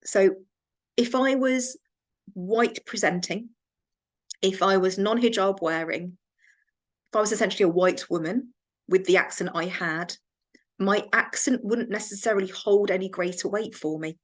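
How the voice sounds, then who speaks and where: An English woman, a counsellor and trainer, giving a reflective training talk with measured pacing and frequent restarts. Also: mid-range voice.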